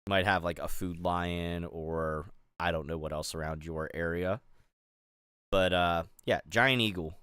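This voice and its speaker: A man's voice: low voice, a little slow, a bit bright, smooth, no room sound, clean studio sound. A middle-aged man from the Pittsburgh area, streaming and rambling casually with lots of fillers and restarts while he builds. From Pittsburgh area, USA. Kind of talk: casual chat while building a toy set.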